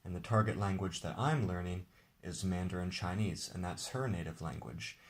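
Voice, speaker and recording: A man, the game's designer, walking viewers through how to play his card game in a relaxed, explanatory way. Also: sits low, normal speed. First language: English. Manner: relaxed, explanatory, with occasional restarts. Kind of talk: casual tabletop game demonstration.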